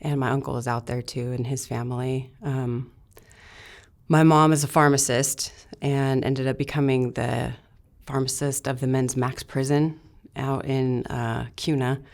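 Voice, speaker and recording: A woman's voice: extremely low pitch, steady tone, a little slow, darkish tone. A young woman from Idaho, speaking plainly and matter-of-factly as an interview guest, with frequent filler words. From Idaho, USA. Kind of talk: candid interview recounting her past.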